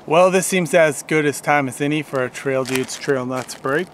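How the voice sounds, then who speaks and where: A man's voice: on the high side, moderate pace, fairly bright tone. A young man co-hosting a hiking vlog, chatting and joking on a steep outdoor trail. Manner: joking, upbeat. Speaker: co-host. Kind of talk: casual hiking vlog chat. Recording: noisy outdoor camera audio.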